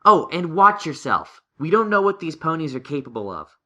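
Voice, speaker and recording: A man's voice: a little high, very animated, moderate pace, bright, forceful tone, pitched above the performer's own voice. A man voicing a studious, earnest young male unicorn pony in a fan-fiction audio story, speaking in an emphatic, analytical way. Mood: wary, thoughtful.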